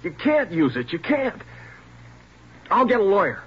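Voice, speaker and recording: An actor playing a defiant twenty-year-old car thief in a 1940s radio crime drama, talking back in a surly, excitable way. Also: male voice, sits high, unhurried. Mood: panicked, defiant. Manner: surly, tough-kid back talk, excitable. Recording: dull, noisy old radio recording.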